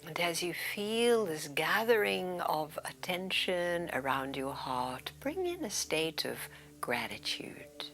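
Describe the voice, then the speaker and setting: A woman with a low voice guides a meditation at an unhurried pace. Her intonation rises and falls widely, and her phrases often trail into a soothing, breathy whisper. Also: very animated, a bit bright. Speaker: yoga nidra meditation guide.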